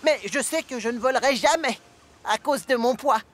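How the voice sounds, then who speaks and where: A woman's voice: high voice, normal speed, slight background noise, lightly acted. A woman voicing a cheerful young male tank engine in a cartoon dub, speaking in a friendly, earnest way. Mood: wistful.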